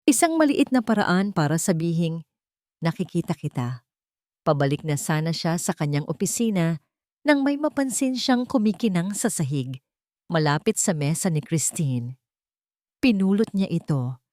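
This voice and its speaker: A woman's voice: on the low side, animated, normal speed, darkish tone, no echo, clean studio sound. A woman narrating a scripted romance story in a dramatic storytelling style.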